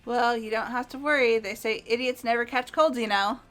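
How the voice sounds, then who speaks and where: Woman as bright, blunt, teasing teenage girl. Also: a little high, fairly even intonation, fairly quick, faint music behind, lightly acted. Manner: direct, teasing. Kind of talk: voiced visual novel game playthrough.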